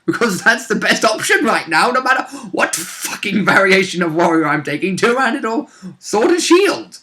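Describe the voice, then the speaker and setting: A man's voice: high voice, normal speed. A man casually recounting a tabletop game session as a player, sweary and given to acting out moments. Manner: sweary, animated reenacting of moments. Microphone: recorded conversation for a vidcast.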